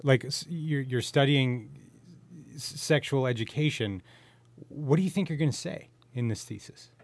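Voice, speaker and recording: Male podcast host, mid-pitched, informal and jokey interviewer, close and dry sound. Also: moderate pace, no room sound. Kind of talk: casual joking interview chat.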